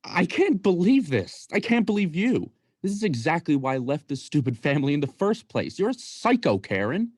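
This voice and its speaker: A woman's voice: deep voice, big pitch swings, normal speed, hardly any pauses, darkish tone, breathy edge, no echo, studio quality, exaggerated voice. A young woman acting in a radio play as an exasperated, incredulous uncle, a shady small-time salesman. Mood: bitter. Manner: incredulous, pleading. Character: exasperated, shady adult uncle, male watch peddler. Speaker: student actor in radio play.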